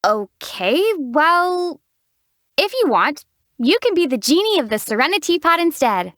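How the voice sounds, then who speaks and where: Game lead: young female traveler, calm and deadpan teasing, high lively voice, dry booth. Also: a little slow, dry recording, exaggerated voice. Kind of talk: studio game voice acting. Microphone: studio mic.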